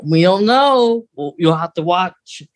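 A podcast co-host with a medium-pitched voice chats in an informal way. The delivery is conversational and speculative, as if thinking aloud about lore. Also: animated, slow pace. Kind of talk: casual chat about Star Wars lore.

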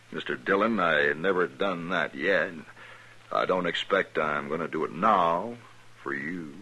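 An American actor playing a smug, taunting cowboy gang leader with a mocking drawl, in 1950s radio western style. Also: male voice, low voice, slow pace, pauses often, sharp tone, slightly rough, exaggerated voice. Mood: defiant. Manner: drawling, mocking, falsely friendly. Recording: old radio recording with faint noise.

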